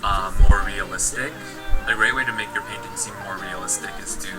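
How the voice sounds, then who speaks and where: A man's voice: fairly low pitch, steady tone, fairly quick. A man streaming himself painting, talking casually about his work, rambling and restarting his thoughts. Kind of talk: casual running commentary while painting. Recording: distant mic under loud music.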